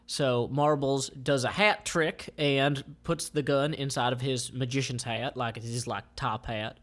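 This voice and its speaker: Mid-pitched man, halting casual read-aloud. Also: fairly even intonation, fairly bright tone. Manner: halting, improvised phrasing. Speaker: comic creator reading his comic aloud. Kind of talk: informal descriptive read-aloud of comic panels.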